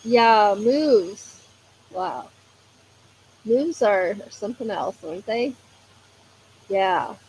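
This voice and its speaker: A woman hosting a crafting livestream, chatting casually and reading comments aloud. She is a bit hoarse from allergies, uses a lot of fillers and often breaks into laughter. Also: medium pitch, animated, very slow, long pauses, a little mellow, a little hiss or hum, low-quality recording.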